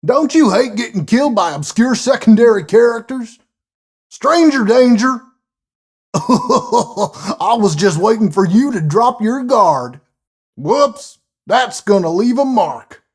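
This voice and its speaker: A woman voicing a gleefully cruel game animatronic, mocking and playful with a husky, breathy voice. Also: fairly high pitch, expressive, slow, darkish tone. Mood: mocking glee.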